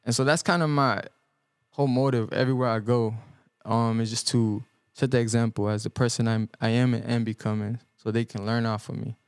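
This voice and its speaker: Earnest young man, smooth dry voice. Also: medium pitch, steady tone, no room sound. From born in El Salvador, raised in the US Midwest. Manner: earnest, halting, with many ums and restarts. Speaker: guest musician. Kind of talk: reflective podcast interview answers. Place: podcast studio.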